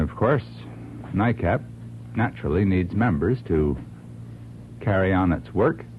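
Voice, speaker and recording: An American man giving formal, deliberate remarks as a radio panel guest, in halting phrases. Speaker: panel guest, organization member. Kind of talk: radio interview testimonial. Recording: muffled old radio recording, faint rumbling noise.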